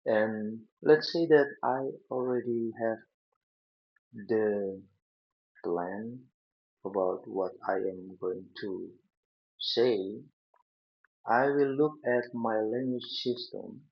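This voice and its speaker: A man with an Indonesian accent giving an unscripted lecture over slides, halting often with fillers and restarts. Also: fairly low pitch, steady tone, vocal fry. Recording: dull lo-fi recording.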